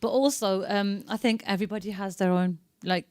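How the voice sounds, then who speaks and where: A British woman co-hosting a community radio talk show, chatty and quick to react. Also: medium pitch, fairly even intonation, no echo, clean studio sound. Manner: quick, chatty, many back-channel replies. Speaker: radio co-host. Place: radio studio.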